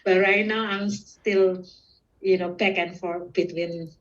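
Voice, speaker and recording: A woman from Indonesia with an Indonesian accent, giving casual, halting interview answers as a podcast guest. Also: on the low side, fairly even intonation. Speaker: podcast guest, security professional and student. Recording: muffled, distant microphone.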